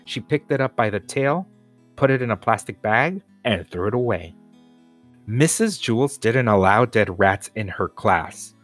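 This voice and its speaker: A man's voice: fairly low pitch, expressive, measured pace, no room sound, faint music behind. A man narrating a children's storybook aloud as a scripted reading. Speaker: storybook reader.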